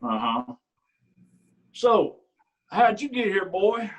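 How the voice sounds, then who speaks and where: A man's voice: high-pitched, slow, low-quality recording. A man playing a smug sheriff. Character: rural county sheriff, middle-aged man, prying and smug. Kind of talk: staged play reading.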